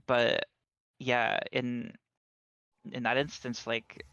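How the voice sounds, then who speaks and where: A man's voice: mid-range voice, monotone, very slow, sharp tone, clean tone, no echo, muffled recording. A man doing live commentary on a speedrun race, casual and analytical as he explains strategy.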